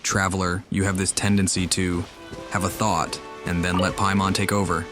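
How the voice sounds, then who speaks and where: A man's voice: low voice, flat delivery, normal speed. A man playing a game and commenting wryly, talking back at the characters. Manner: suspicious, wry, talks to the characters. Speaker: gamer. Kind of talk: let's play commentary. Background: game music.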